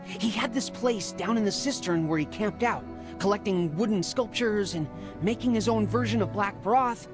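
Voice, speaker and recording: An actor playing an eager, chatty teenage Spartan younger brother in a video game, excitable and informal, over background music. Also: male voice, high-pitched, moderate pace, close to an everyday voice. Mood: excited.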